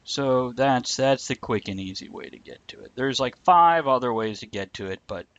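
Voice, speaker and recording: Through muffled, low-fidelity livestream audio comes a man hosting a casual show. He talks informally, mixing wry jokes with plain walk-through explanations. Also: animated, normal speed, a bit bright, dry recording. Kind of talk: casual joking banter and step-by-step explaining.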